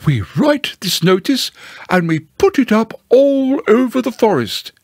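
Man doing a pompous, swooping, slow storybook owl. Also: very high-pitched, very animated, no echo, studio quality, pitched above the performer's own voice, full character voice. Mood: officious, instructive. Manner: pompous, drawn-out delivery of formal phrases. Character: pompous, long-winded male owl, self-important, easily affronted. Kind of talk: storybook character voice.

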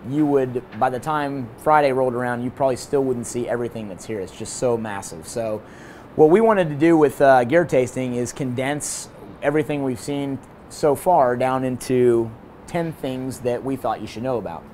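A man in his thirties with a hoarse voice, hosting a casual gear review vlog from a hotel room and balcony. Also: mid-range voice, moderate pace, slight background noise. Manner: energetic, talks with his hands. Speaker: host.

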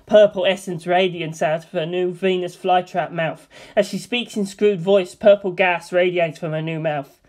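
Script narrator, middling pitch, scripted screenplay narration, stage directions. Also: fairly even intonation, moderate pace, a little mellow.

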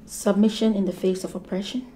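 A woman's voice: mid-range voice, level tone, a little fast, noisy background, close to an everyday voice. A woman playing an outspoken, insistent woman who questions and challenges in a drama. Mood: challenging. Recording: echoey room, clatter of dishes.

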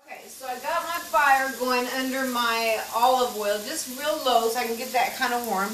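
A woman's voice: fairly high pitch, normal speed, slight background noise. Folksy woman cooking in an echoey kitchen. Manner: folksy, chatty, ends lines with "okay?". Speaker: cooking show host. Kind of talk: home cooking demonstration. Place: home kitchen. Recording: echoey kitchen, voice from far off, loud cooking clatter. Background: sizzling pans and clinking utensils.

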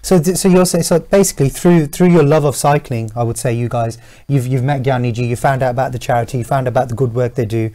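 Male talk show host, warm low tone, brisk delivery, studio interview. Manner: chatty, quick prompting questions.

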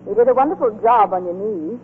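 An actress in a 1940s radio drama playing a blunt, guarded but tender-hearted army nurse with brusque, clipped retorts. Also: female voice, mid-range voice, quick pace, lightly acted. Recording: muffled, echoey 1940s radio recording with hum.

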